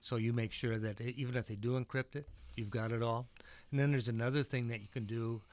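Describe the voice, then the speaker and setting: A man's voice: medium pitch, fairly even intonation. A man who teaches computer classes, chatting casually on a radio show with stumbling restarts. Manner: chatty, stumbling restarts, reads contact details slowly.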